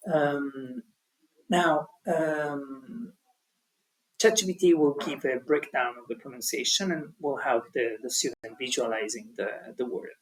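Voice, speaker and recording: Husky man giving a hesitant tutorial. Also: medium pitch, measured pace, rough recording. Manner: hesitant, many ums and restarts. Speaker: language teaching tutor. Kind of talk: explaining an online tutorial.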